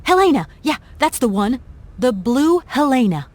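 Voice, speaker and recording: A woman's voice: sits high, sing-song, normal speed. A woman playing a determined, polite young adventurer in a video game, speaking in an earnest, courteous way. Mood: relieved. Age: young adult.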